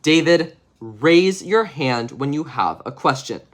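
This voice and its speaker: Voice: mid-range voice, animated, slow pace. A storyteller voicing a strict, exasperated schoolteacher in a bedtime picture-book reading. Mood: stern. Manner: stern, exasperated.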